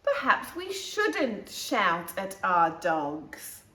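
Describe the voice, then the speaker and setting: Stern military dog trainer for a picture book female reader, brusque, unhurried delivery. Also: mid-range voice, wildly swooping pitch, pushed and bright, full character voice. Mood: softening. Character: gruff, scary, moustached male dog-training brigadier. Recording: roomy, echoing room sound.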